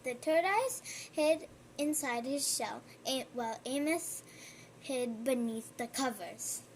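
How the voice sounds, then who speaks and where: A woman reading a children's picture book aloud, gentle and unhurried, stopping for friendly asides to her listener. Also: sits high, noisy background. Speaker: storybook reader.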